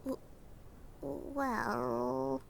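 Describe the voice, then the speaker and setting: A woman's voice: high-pitched, flat delivery, extremely slow, gravelly, exaggerated voice. A woman voicing an anxious, timid monster scientist in an audio drama, apologetic and stammering heavily. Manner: nervous, heavy stammer.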